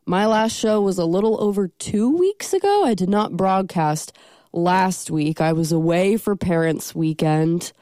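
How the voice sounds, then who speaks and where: A woman's voice: fairly low pitch, lively intonation, measured pace, dark tone, no room sound. A young woman with a stuffy cold, hosting a college radio show in casual, rambling chat from a studio. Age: young adult. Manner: rambling, self-deprecating, many ums.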